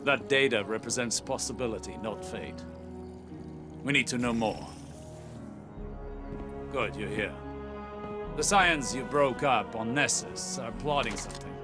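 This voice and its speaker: An actor playing a calm, secretive male mentor who speaks in a measured, cryptic way, heard over clear music. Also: medium pitch, close to an everyday voice. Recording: game cutscene with clear music.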